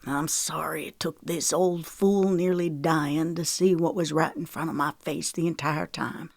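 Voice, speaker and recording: A gruff older man, remorseful yet protective, talking low and soft, as a woman reading an audiobook puts him on. He grumbles more than he speaks, and the voice sits deep and close, with no room around it. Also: steady tone, measured pace, dry recording, heavily acted. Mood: regretful. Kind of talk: audiobook character voice.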